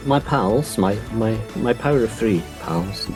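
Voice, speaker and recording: Podcast co-host, man, croaky and very low-pitched, rambling chat over a clear music bed. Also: expressive. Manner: chatty, rambling, quick asides. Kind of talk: casual chat.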